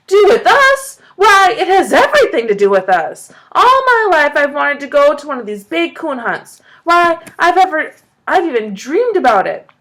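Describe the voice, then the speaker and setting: A woman voicing an excitable, kindly old grandfather who bursts into loud, eager shouts. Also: mid-range voice, normal speed, lightly acted. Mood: excited.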